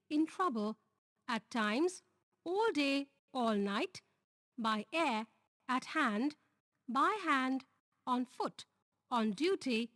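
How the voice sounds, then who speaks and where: Slow, lilting Indian English teacher. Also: female voice, high-pitched, dry recording, studio quality. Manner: slow, deliberate reading of lists and examples. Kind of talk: scripted grammar lesson.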